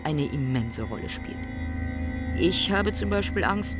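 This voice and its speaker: An actor playing a brooding, philosophical ailing man in a radio play monologue, speaking in deliberate, emphatic short phrases. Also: mid-range voice, expressive, over music, close to an everyday voice. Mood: brooding, reflective.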